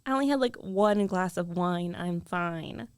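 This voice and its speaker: A woman's voice: on the low side, a little slow, clean tone, dry recording, lightly acted. A woman acting a jealous, defensive young roommate who is secretly in love, in an emotional, conversational ASMR roleplay. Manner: emotional, conversational, pleading and protesting. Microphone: close studio microphone, dry.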